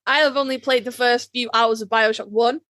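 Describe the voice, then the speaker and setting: Higher-voiced woman, playful podcast host. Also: steady tone, fairly quick, a bit bright, dry recording, studio quality. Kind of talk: casual chat.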